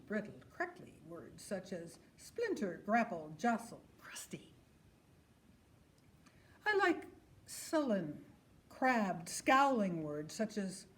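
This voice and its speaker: Slow, expressive woman reading theatrically. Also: lowish voice. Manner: savours single words, expressive. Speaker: performer reading letters aloud. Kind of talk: theatrical scripted letter reading.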